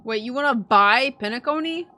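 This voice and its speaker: A woman's voice: fairly high pitch, lively intonation, moderate pace, sharp tone. A woman game streamer giving casual, chatty live reactions in an informal way. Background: game audio and music.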